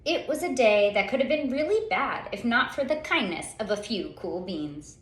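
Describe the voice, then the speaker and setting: A woman reading a children's story aloud in an expressive, theatrical way, with dramatic pauses and drawn-out words. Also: on the high side. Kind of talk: expressive picture-book storytelling. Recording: roomy, echoey sound.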